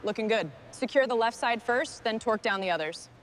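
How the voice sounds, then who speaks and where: A woman's voice: a little high, fairly quick, a little hiss or hum. A woman giving clipped, approving commentary to herself while working on a vehicle restoration. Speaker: restorer narrating own work. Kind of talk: short task commentary during vehicle restoration.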